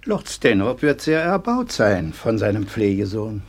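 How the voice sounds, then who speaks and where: An earnest teacher, exasperated. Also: male voice, fairly low pitch, big pitch swings, measured pace, heavily acted. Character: anxious male teacher and guardian, dutiful. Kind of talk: 1960s German radio play acting.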